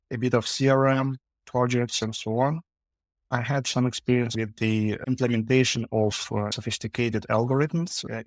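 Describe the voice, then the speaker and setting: A man, a company founder answering interview questions haltingly with frequent fillers. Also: medium pitch, no room sound. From Ukraine. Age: 40s. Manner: halting, many uh fillers, non-native phrasing. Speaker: podcast guest, founder.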